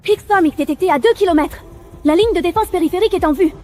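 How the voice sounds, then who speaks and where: An actress playing an earnest, intense young female soldier in an animated action series, with urgent, emotional delivery. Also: extremely high pitch, fast.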